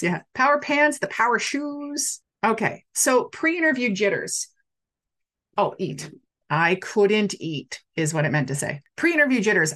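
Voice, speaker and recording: A woman's voice: mid-range voice, fairly bright tone. A middle-aged woman hosting a casual live stream, talking animatedly to viewers and reading out their comments.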